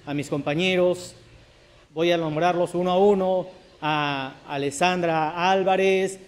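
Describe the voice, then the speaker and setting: A middle-aged man speaking earnestly in an outdoor interview, measured and a little halting. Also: high-pitched, steady tone. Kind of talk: interview testimony. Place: outdoor terrace by a park.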